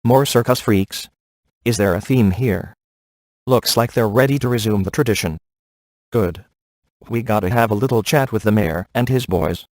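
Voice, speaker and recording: A man playing a wisecracking, sardonic ghost hunter in a video game, with a deadpan, offhand delivery. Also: fairly low pitch, moderate pace, no echo, lightly acted. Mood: wry. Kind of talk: video game voice acting, comic one-liners.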